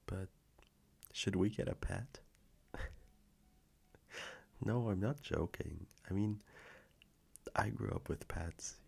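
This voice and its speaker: A man playing a gentle, affectionate boyfriend in an intimate audio roleplay, speaking softly and reassuringly with a low, gravelly, sometimes breathy voice. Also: slight background noise, heavily acted. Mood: caring, earnest. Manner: soft, slow, reassuring, intimate.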